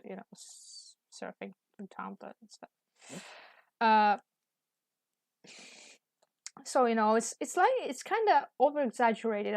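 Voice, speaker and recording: A young woman with a Russian accent talks in an unhurried, halting way, full of "uh"s and false starts. Her voice sits at a middling pitch, and the recording is close and dry with no room sound. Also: no room sound. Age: young adult. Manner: hesitant, many fillers and restarts. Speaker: student. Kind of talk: casual chat while drawing.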